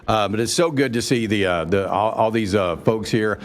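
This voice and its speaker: A man's voice: lowish voice, normal speed. An American man hosting a live radio show, talking to an audience in an effusive, rambling way.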